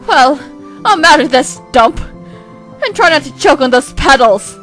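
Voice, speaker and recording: A woman's voice: sits high, lively intonation, measured pace, a bit bright, soft background music, poor audio. A woman voicing a proud, prim male twin in an amateur comic dub, haughty and theatrical but grudgingly kind. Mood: flustered.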